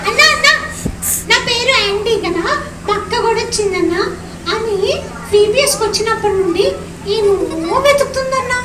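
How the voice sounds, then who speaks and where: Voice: moderate pace, long pauses. ఒక పప్పెట్ కళాకారుడు ఆండీ అనే చిలిపి చిన్న పిల్లాడి బొమ్మకు కీచు గొంతుతో మాట్లాడిస్తున్నాడు, పిల్లలతో సరదాగా ఆటపట్టిస్తూ. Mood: cheeky. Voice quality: high squeaky puppet voice. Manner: chatty, teasing, addresses kids and calls everyone brother. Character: cheeky little boy puppet, chatty and playful. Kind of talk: live puppet show for children. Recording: echoey hall from far off, loud crowd and noise.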